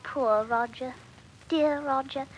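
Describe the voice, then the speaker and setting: An actress playing a trusting, lovelorn young country maid in an old radio drama, with earnest, quaint, tremulous phrasing. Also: female voice, high-pitched, takes it slowly, rough recording. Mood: sorrowful refusal. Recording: vintage radio recording, faint noise.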